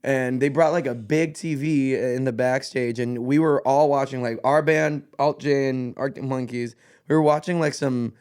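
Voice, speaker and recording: Young guy from greece, smooth clear voice, rambling lively stories w lots of like and bro. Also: mid-range voice, moderate pace. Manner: animated, laughing, lots of "like" and "bro". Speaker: guest musician. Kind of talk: casual storytelling chat. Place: podcast studio.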